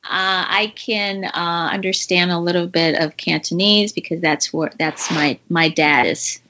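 A middle-aged woman from China with an American accent, a guest speaking thoughtfully with frequent pauses and fillers in an interview. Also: lowish voice, pushed and bright, muffled recording. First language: Mandarin. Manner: thoughtful, hesitant, with fillers and laughs. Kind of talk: casual interview.

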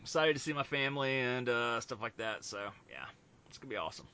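A man's voice: medium pitch, fast talker, clear, resonant tone, highs cut off. A man hosting a podcast in casual chat, rambling with lots of fillers. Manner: rambling, relaxed, many fillers. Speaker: podcast host.

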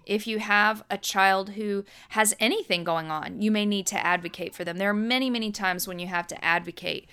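An American woman in middle age speaks to her listeners like a teacher, earnest and explanatory. Her voice is smooth and clean, sitting at an ordinary pitch. She doubles words now and then when she wants to stress a point. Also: a little fast, few pauses, sharp tone. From United States. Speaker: podcast host and birth educator. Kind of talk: teaching monologue.

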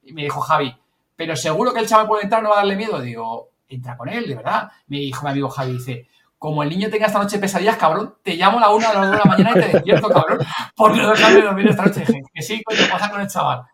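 A man with a Spanish accent from Spain tells an anecdote casually as a podcast host, colloquial and animated. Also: high-pitched, fast talker, recorded from a distance. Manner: animated storyteller, colloquial, swears casually, quotes others. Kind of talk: casual anecdote telling among colleagues.

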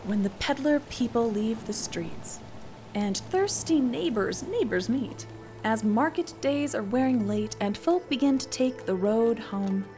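A woman host tells stories and reads verse in a measured, scripted way. Her voice sits a little high, and music runs clearly behind her. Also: animated, mellow. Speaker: podcast host. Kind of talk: scripted narration and poetry reading.

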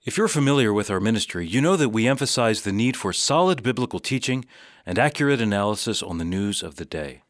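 A man's voice: fairly low pitch, expressive, fast. A man reading scripted radio promotion in a polished announcer manner.

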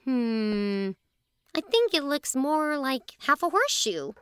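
A woman playing a curious, imaginative young girl in a family audio drama, teasing and excitable. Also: high voice, takes it slowly, clean tone, dry recording, clean studio sound, full character voice. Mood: curious, puzzled.

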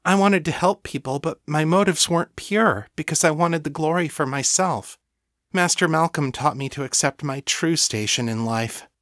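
Man voicing Lena, a reverent servant woman, pitched up. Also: normal speed, a bit bright, no room sound, clean studio sound, close to an everyday voice. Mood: earnest confession. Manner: earnest, reverent, submissive. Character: Lena, devoted, self-effacing female thrall, rapturous and servile.

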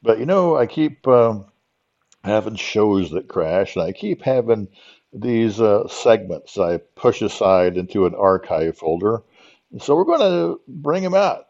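Casting: casual male host, fairly low pitch, wandering hesitant delivery, mildly lo-fi sound. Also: sing-song, measured pace, vocal fry, darkish tone. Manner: hesitant, rambling. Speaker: podcast host. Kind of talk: casual, unscripted chat. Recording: slightly dull, lo-fi sound.

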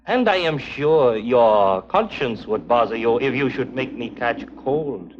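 An actor playing a suave, menacing foreign spy who speaks with formal, mock-courteous precision in an early 1950s TV drama. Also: male voice, mid-range voice, expressive, measured pace, a little hiss or hum, natural voice. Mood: mock courtesy. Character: suave, menacing male foreign spy villain, coldly polite. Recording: muffled vintage 1950s TV soundtrack.

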